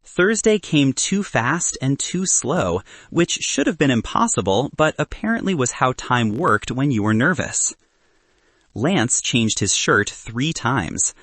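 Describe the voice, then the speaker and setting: Male narrator for contemporary fiction. Husky, wry and animated, recorded dry. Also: mid-range voice, takes it slowly, dry recording. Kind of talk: scripted audiobook narration.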